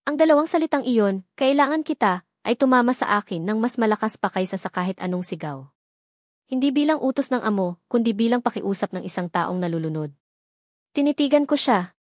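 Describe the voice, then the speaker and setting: A woman's voice: mid-range voice, expressive, brisk, a little mellow, no room sound, clean studio sound. A woman with a Filipino accent reading a scripted, dramatic first-person story as narrator.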